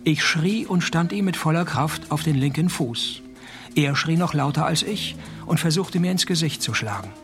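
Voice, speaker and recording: A man's voice: on the high side, moderate pace, soft background music. An actor playing a wry, laconic male private detective who narrates his case and trades dry lines in a radio play. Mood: dry narration. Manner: laconic, sardonic, deadpan.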